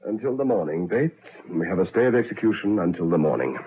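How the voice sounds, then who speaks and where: A formal, authoritative male prison governor in a vintage radio play. His voice is on the low side and swings expressively, stern but humane. The old recording is dull, with clear noise. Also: big pitch swings, fast. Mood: grave, resigned. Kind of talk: old-time radio drama acting.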